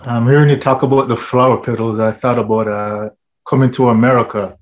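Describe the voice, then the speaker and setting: A man's voice: mid-range voice, steady tone, a little fast. Guy hosting an interview show, asks short questions, sound a bit dull and lo-fi. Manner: brief questions and short replies. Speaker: interview host.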